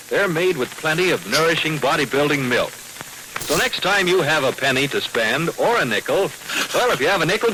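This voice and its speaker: A man pitches to youngsters in the style of a 1940s radio commercial. His voice is bright and forceful, with very lively intonation and folksy enthusiasm. It sounds like an old radio recording with some faint noise. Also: rough recording. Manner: enthusiastic, folksy salesman delivery. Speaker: radio advertisement announcer.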